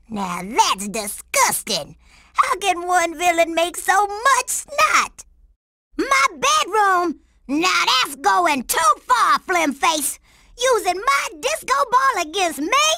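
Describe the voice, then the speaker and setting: Cartoon game role: tough, sassy young girl agent, gravelly and animated, slangy attitude. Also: extremely high pitch, no room sound, studio quality, full character voice. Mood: cocky, indignant. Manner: streetwise slang, refers to herself in third person. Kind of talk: cartoon video game voice acting.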